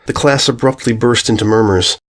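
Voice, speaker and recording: A man's voice: medium pitch, brisk, a little hiss or hum. A man narrating a scripted story as its reader.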